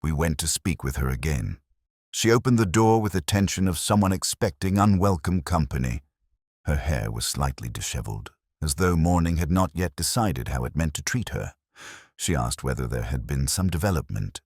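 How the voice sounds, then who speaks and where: A man acts as a brooding male detective telling his own mystery story in a very low, solemn voice. The delivery is measured and reflective, with lively rises and falls in pitch. The sound is dry and close, with no room around it. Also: husky, no room sound, heavily acted. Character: brooding, introspective male police detective, first-person narrator. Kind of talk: literary scripted mystery narration.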